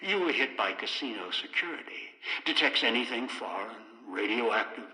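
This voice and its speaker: A man's voice: medium pitch, sing-song, normal speed, bright, forceful tone, echoey room, big-room sound, poor audio. An older man acting a bitter, commanding old schemer in a video game, giving terse, ominous orders over a radio speaker. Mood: calculating, terse.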